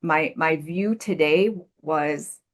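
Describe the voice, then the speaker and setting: A woman's voice: low voice, slow pace. A woman in her sixties, a podcast guest answering thoughtfully over a video call, earnest and repeating words as she searches for them. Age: 60s. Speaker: podcast guest, life coach. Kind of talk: reflective interview answers.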